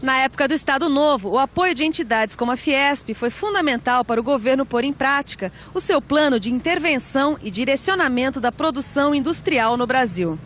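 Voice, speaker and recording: Brazilian lady with a pretty high voice, reading an educational script as presenter. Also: expressive, a little fast, few pauses, a bit bright, slight background noise.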